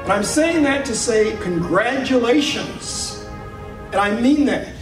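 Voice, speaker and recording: A man's voice: very high voice, animated, unhurried, over music. A man giving an emphatic, preacher-like congratulatory speech at a podium in an auditorium. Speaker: ceremony speaker. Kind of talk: inspirational speech. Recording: echoey hall, distant mic.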